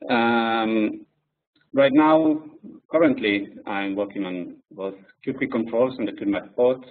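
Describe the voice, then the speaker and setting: A man's voice: on the low side, slow. Non-native man presenting a coding webinar. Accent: French-Spanish. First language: French and Spanish. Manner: hesitant, with many fillers. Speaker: software engineer presenter. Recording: distant tinny phone-like audio.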